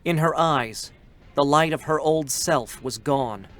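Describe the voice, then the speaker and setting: A man's voice: on the high side, slow, fairly bright tone. An actor playing a youthful, earnest male scout android in a dramatic reading of a game character. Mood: solemn. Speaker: character narrator. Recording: music behind the voice. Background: music.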